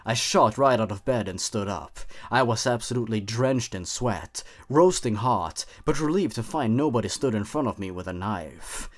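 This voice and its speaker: A man's voice: lowish voice, lively intonation, moderate pace, hardly any pauses. A man narrating scripted horror stories in a suspenseful, theatrical way.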